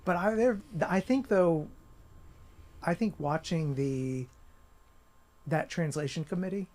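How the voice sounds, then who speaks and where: Animated man, brisk and lively. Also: on the high side. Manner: animated storyteller, many restarts and "you know". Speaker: author and editor, guest. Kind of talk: casual conversational interview.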